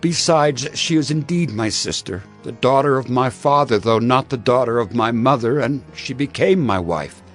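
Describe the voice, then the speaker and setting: A man's voice: mid-range voice, expressive, moderate pace, hardly any pauses, soft background music, natural voice. A man playing an earnest, somewhat defensive patriarch in a dramatized scripture reading. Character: Abraham, earnest patriarch explaining himself.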